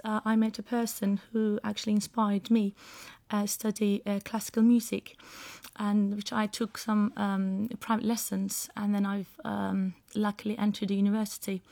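A woman's voice: medium pitch, level tone, vocal fry, a little mellow. A woman from Turkey whose first language is Turkish, speaking English with a Turkish accent as a radio guest, hesitant with many fillers. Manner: hesitant, many pauses and fillers, laughs easily. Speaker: guest singer. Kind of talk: radio interview.

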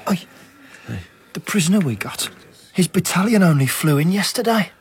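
An actor in a radio drama playing a blunt, exasperated male soldier with a husky voice. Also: high voice, animated, normal speed, full character voice. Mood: brisk, exasperated.

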